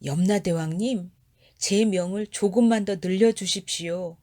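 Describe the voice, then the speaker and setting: A woman's voice: mid-range voice, measured pace, mellow, natural voice. A woman voicing a panicked male magistrate in a storybook tale, stammering and begging desperately for his life. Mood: pleading.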